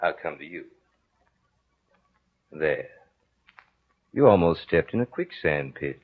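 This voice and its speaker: An actor playing a jealous, coldly vengeful man in a radio horror drama, speaking deliberately with taunting false concern. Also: rumbling low, animated, full character voice. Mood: falsely solicitous. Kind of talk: old-time radio horror drama acting. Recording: phone-like old radio recording, music behind.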